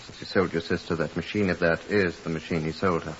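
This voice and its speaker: An actor playing a dry, sceptical police superintendent in a 1950s radio drama, with a clipped, matter-of-fact manner. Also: male voice, deep voice, steady tone, fast, close to an everyday voice. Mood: brisk questioning. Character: dry, sceptical male Scotland Yard superintendent. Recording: muffled hissy old radio recording.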